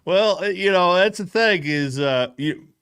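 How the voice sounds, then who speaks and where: A man's voice: high-pitched, expressive, unhurried, fairly bright tone, clear, resonant tone. A man hosting a casual live recap show, bantering in a jokey, folksy way and often repeating his phrases.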